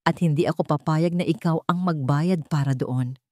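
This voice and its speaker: A woman's voice: low-pitched, normal speed, mellow, dry recording, natural voice. Woman doing a filipino guy doctor in a story, protective and stubborn, intense pleading, dry audio. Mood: fierce determination. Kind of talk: dramatic audio story dialogue.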